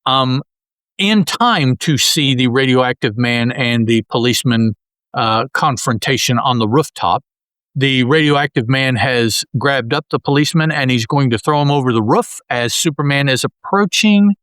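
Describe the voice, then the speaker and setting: A man's voice: mid-range voice, normal speed, a bit bright, smooth, no room sound. A man co-hosting a podcast, describing comic panels in a casual, rambling way with many restarts. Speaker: podcast co-host. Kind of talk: casual comic-book discussion.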